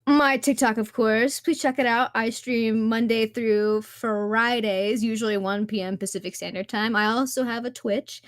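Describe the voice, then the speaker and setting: A woman's voice: a little high, fairly even intonation, moderate pace, few pauses, no room sound, studio quality. A woman who streams, talking as an upbeat, encouraging guest on a podcast. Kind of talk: casual podcast chat.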